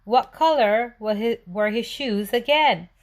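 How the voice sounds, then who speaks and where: Lady doing a kids read aloud, higher voice, takes it really slow, expressive with little questions. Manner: expressive, asking questions and answering them. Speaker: children's read-aloud presenter. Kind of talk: storybook read-aloud for young children.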